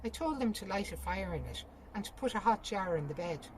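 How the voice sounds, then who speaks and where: A woman's voice: mid-range voice, expressive, a little fast, a little hiss or hum, pitched above the performer's own voice, lightly acted, poor audio. Woman reading as a bossy elder sister. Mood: practical, persuasive. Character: practical, bossy, impatient older sister.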